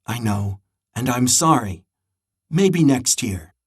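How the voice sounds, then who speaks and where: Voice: medium pitch, animated, slow pace, husky, pitched below the performer's own voice. A narrator voicing a cautious, apologetic woman school principal in a children's storybook.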